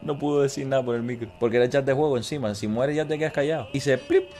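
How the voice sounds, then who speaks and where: A man's voice: mid-range voice, steady tone, fast, darkish tone, faint music behind. A man, chatting and telling stories crudely and jokingly as he streams a game from a room at home. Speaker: gaming streamer. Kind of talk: casual livestream chat and storytelling during gameplay. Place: small room at home, indoors.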